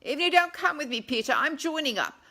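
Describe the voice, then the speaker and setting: An exuberant, tipsy young English gentleman, voiced by a woman reading a novel. He declaims loudly and emphatically, pitched high and eager to join up. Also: lively intonation, fast talker. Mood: determined.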